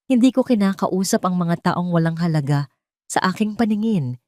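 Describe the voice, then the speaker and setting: A woman's voice: lowish voice, animated, normal speed, darkish tone, no echo, clean studio sound, natural voice. A woman voicing a dignified elderly tycoon father who speaks with stately authority in an audio story. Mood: cold. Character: dignified elderly patriarch tycoon, commanding yet loving father.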